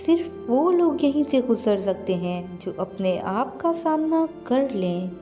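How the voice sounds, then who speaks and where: A woman's voice: on the high side, sing-song, takes it slowly, dark tone, faint music behind. A woman voicing a solemn, earnest female guardian fairy in a storybook tale. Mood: earnest, reverent.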